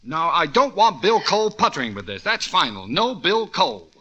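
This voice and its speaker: Flustered suburban-dream husband for an old radio comedy, slightly high, dry wisecracks. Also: male voice, sing-song, moderate pace, sharp tone, breathy edge, highs cut off, soft background music, exaggerated voice. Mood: stubborn. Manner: grumpy, sputtering, dry wisecracks. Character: flustered city ad man Jim Blandings. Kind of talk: 1940s radio comedy acting.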